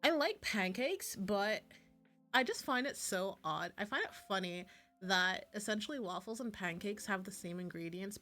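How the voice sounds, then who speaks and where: A woman's voice: mid-range voice, fairly bright tone. A woman livestreamer chatting casually while playing visual novels, rambling and gushing and often interrupting herself. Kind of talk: casual chatty stream commentary. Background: background music.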